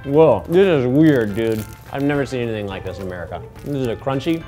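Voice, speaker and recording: A man's voice: mid-range voice, animated, fast talker, dark tone, soft background music. A young man hosting a comedy mail vlog, reading fan letters with dry, wry asides. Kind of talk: deadpan comedic vlog banter.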